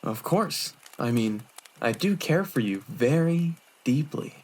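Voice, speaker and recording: Woman acting a young male monk, low pitch for a woman, courteous, measured, earnest, anime audio drama. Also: animated, a little mellow, husky, full character voice. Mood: tender, earnest.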